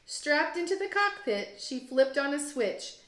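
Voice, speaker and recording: A woman teacher reading a children's storybook aloud with expressive, deliberate storytelling phrasing. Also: sits high. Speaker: teacher, guest read-aloud host. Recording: roomy echo, music behind. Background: storybook app music.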